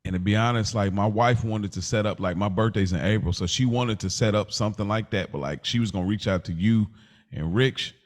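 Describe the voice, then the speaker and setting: Male podcast co-host, lowish voice, earnest and heartfelt, casual slang, leans on repeated phrases. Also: fairly quick.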